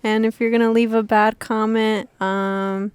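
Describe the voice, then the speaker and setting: A young woman from New Jersey co-hosting a casual movie reaction channel, talking in a soft, hesitant, giggly way. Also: fairly high pitch, flat delivery, a little slow, clear, resonant tone, no room sound. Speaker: co-host. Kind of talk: casual chat.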